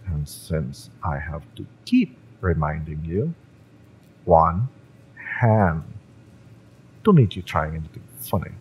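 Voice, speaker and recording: A man's voice: a bass voice, animated, slow, long pauses, a little mellow, breathy edge, exaggerated voice. A man playing a cocky, fiery young cyberpunk mercenary in an audio roleplay, smug and menacing as he taunts. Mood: threatening. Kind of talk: dramatic audio roleplay, intimate villain-romance acting.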